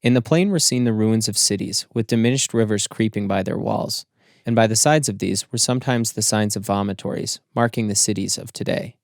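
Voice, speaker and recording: Man, audiobook narrator, measured formal storytelling, deep-leaning voice with vocal fry, dry studio-like sound. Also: dry recording. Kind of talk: scripted literary audiobook narration.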